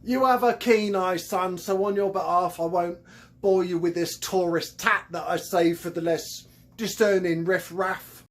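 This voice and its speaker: A man performs a grubby old Cockney hustler with a thick accent and inflated grandeur. His voice sits high and bright, with a boastful lilt in his patter. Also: steady tone, unhurried. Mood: wheedling. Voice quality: pompous, grandiose salesman patter. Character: shabby boastful old male Cockney conman, haughty.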